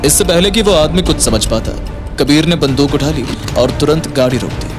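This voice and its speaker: A man's voice: on the high side, animated, moderate pace, natural voice. A man narrating an action scene in a scripted audio drama with a dramatic delivery. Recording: voice under loud music. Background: loud music.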